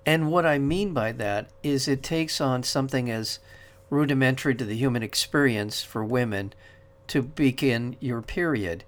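A man hosting a radio interview show, asking long, earnest questions in a measured way with some restarts. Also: mid-range voice.

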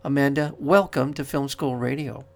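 Male radio host, measured and earnest, long-winded interview questions. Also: mid-range voice, steady tone, gravelly.

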